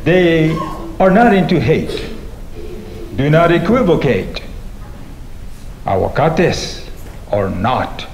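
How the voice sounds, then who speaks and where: Male poet in his 60s, animated rise and fall in pitch, roomy echo on the stage mic. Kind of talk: live poetry reading. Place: meeting hall with audience. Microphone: stand microphone. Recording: echoey room with background noise.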